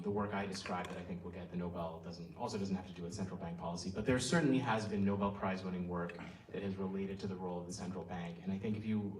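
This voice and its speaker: A man speaking on a panel, halting and heavy with ums. His voice is very low and sounds far from the mic, with a hall's echo around it and a faint hiss. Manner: halting, many ums and uhs. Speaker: panelist economist. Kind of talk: panel discussion answer. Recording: roomy hall, mic at a distance.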